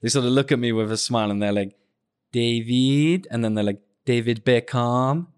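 A man's voice: mid-range voice, normal speed, darkish tone, clear, resonant tone. Man in casual, jokey chat. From United Kingdom. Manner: jokey, self-deprecating. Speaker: podcast host.

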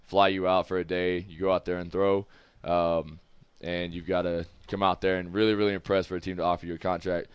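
Low-voiced guy in his twenties, clean smooth sound, loose candid chat as a guest. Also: little pitch movement, a little fast, hardly any pauses, dry recording, lo-fi sound. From United States. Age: 20s. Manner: candid, easygoing, loose and rambling. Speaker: guest, professional football player. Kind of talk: casual interview talk. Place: studio room with several people.

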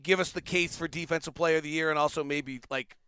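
A man's voice: fairly high pitch, fairly even intonation, quick pace, sharp tone, clear, resonant tone, dry recording. A man hosting a casual sports talk interview, giving opinions and rambling into stories. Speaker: podcast host.

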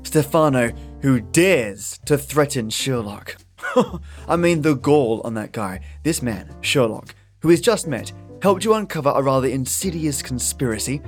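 Man, Australian accent, chatty podcast host, effusive and chipper, medium pitch, light music bed. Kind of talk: chatty scripted podcast outro. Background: guitar music.

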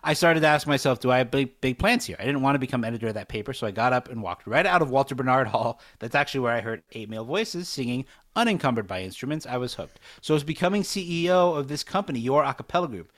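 A man with a medium-pitched voice co-hosts a podcast. He talks in an easy, casual chat style. His manner is polite and appreciative. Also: animated, fast talker, few pauses, a bit bright. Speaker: podcast co-host. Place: recorded over video chat.

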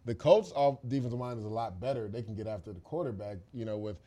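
A man's voice: lowish voice, fast, dark tone. A man co-hosting a football podcast, giving emphatic, opinionated analysis in a casual, conversational way.

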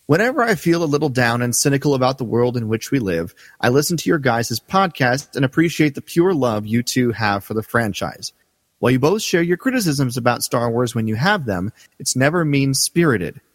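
A man co-hosting a fan podcast, joking in a relaxed way and reading listener letters aloud. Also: mid-range voice, brisk, long reverb tail. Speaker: podcast co-host. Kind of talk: casual podcast chat.

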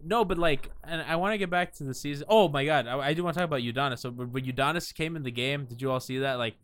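A man's voice: fairly high pitch, fast talker, a bit bright. A man hosting a casual sports podcast, teasing and sarcastic toward his co-host.

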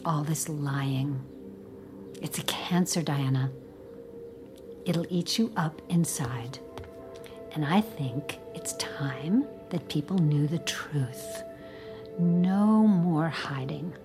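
A woman's voice: low-pitched, slow, pauses often, over music. An actress playing Diana's spiteful older mother, who mocks and threatens her daughter in a theatrical, menacing way.

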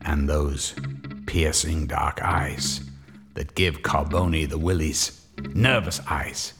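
A man's voice: rumbling low, takes it slowly, vocal fry. A man narrating a hard-boiled noir story with a wry, gritty delivery. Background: music score.

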